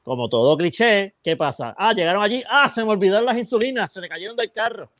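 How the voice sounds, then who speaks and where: A Puerto Rican man on a podcast, his voice a touch higher than most men's, talks through a movie's story in loose, rambling chat and keeps restarting his sentences. The sound is close and dry, with no room around it. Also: expressive, fast talker, a bit bright, no echo. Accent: Puerto Rican Spanish. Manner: rambling, chatty plot retelling with many restarts. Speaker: podcast co-host. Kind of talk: casual film review chat.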